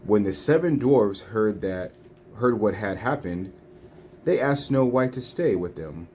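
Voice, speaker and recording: A woman reading children's storybooks aloud in a deliberate, phrase-by-phrase way. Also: very deep voice, expressive, darkish tone. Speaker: storybook reader. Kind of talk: children's read-along narration. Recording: faint background noise, home recording.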